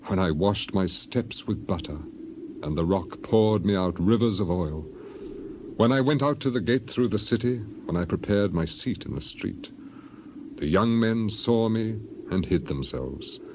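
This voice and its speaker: A man's voice: on the low side, noisy background. A man voicing a grieving, dignified biblical elder in a solemn, lamenting, declamatory dramatized reading. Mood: wistful.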